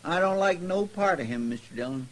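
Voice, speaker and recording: An actor playing a fretful, feisty marshal's helper in a radio western, with a rustic, stubborn manner and a Western American drawl. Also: male voice, high voice, lively intonation, fairly quick. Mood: worried, insistent. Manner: rustic, plain-spoken, stubborn. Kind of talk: old-time radio western acting. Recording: noisy vintage radio recording.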